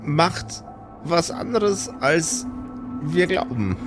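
A man's voice: on the high side, sing-song, drawn-out words, over music, full character voice. A man voicing a thoughtful, curious female doctor who speaks hesitantly and repeats phrases while pondering.

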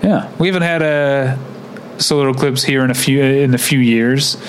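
Male podcast co-host, halting, noisy recording. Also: medium pitch. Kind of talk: casual unscripted podcast chat.

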